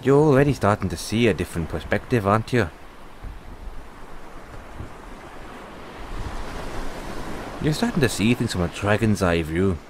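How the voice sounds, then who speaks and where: A man playing a free-spirited, kindly dragon rider and mentor in an audio roleplay, musing and unhurried with pauses. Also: lowish voice, animated, slight background noise, natural voice. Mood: encouraging, hopeful.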